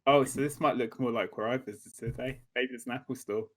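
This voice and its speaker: Guy chatting on a lets play, wry, average pitch, pretty noisy recording. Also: fairly even intonation, quick pace. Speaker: gameplay co-host. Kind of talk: casual video game commentary. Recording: noticeable background noise.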